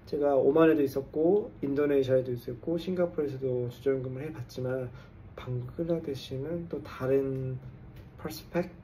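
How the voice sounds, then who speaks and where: Gravelly, tipsy man in his forties. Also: medium pitch, warm tone, distant mic, slight background noise, poor audio. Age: 40s. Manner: mixes English jargon into his talk. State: tipsy after drinks. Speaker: construction engineer and English coach hosting a channel. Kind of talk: casual vlog monologue to camera.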